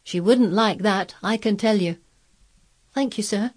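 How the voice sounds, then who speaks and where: Female storyteller as young housemaid, deferential, plain-spoken, storybook, raised husky voice. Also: mid-range voice, measured pace, a little mellow, voice pushed up. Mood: nervous, deferential. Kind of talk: acted storybook dialogue.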